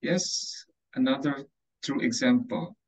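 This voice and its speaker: Slow, husky man, very expressive. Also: medium pitch, rough recording. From Indonesia. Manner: theatrical, emphatic. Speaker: English tutor and trainer. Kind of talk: presenting to a class. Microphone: video call.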